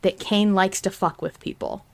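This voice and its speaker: A woman's voice: medium pitch, fairly even intonation, moderate pace, gravelly. A woman hosting a podcast, talking casually and emphatically with opinions and casual swearing. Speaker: podcast host. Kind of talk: casual discussion chat.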